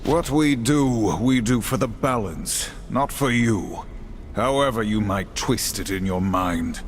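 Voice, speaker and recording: An actor playing a stern, grim warrior horseman in a video game, speaking in a solemn, formal, measured way. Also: on the low side, a little hiss or hum. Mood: grave, wary. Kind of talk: video game cutscene acting. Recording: loud music behind the voice.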